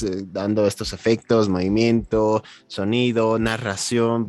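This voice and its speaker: A man's voice: fairly low pitch, a little mellow. A man interviewing as a podcast host, affirming his guest and feeling his way through wordy sentences. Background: faint background music.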